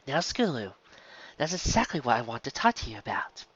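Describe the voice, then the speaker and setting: A woman voicing a patient, weary female schoolteacher pony in a measured, gently firm way. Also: very deep voice, sing-song, slightly rough, lo-fi sound, heavily acted.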